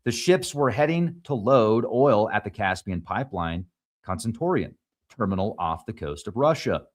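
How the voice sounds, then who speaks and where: A man who hosts a show reads news stories aloud and adds quick asides. English is not his first language, and he sometimes stumbles over place names. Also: fairly low pitch, lively intonation. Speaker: show host. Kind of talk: reading news headlines with commentary.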